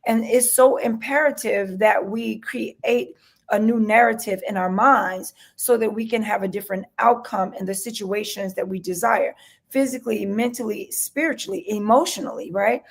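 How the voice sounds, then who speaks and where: Woman, interview guest, gravelly edge, eager and earnest, mid-pitched. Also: normal speed. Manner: earnest, enthusiastic, often ends points with "right?". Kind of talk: casual interview chat.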